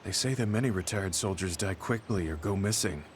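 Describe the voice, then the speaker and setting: An actor playing a stoic, earnest veteran soldier in a video game, speaking in a serious, reserved, measured way. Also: male voice, low voice, slight background noise, natural voice. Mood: grimly resolute. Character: stoic, earnest male veteran soldier, quietly grieving and resolute. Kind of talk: English video game voice acting. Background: soft background music.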